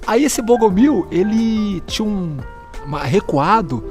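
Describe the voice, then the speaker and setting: A Brazilian man with a fairly high voice tells old game stories in a meandering, unhurried way. He often searches for his words and chuckles along the way, with faint music playing behind him in a stairwell. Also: expressive, a little mellow. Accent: Brazilian Portuguese. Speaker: guest, fellow tabletop player. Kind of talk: casual storytelling. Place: stairwell of an apartment building.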